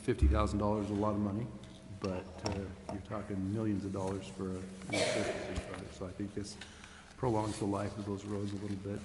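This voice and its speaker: A low-voiced man explains things in a measured, hesitant way with little change in tone. He is in an echoey chamber with clear background noise. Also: monotone. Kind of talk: informal explanation at a public meeting. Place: large council chamber.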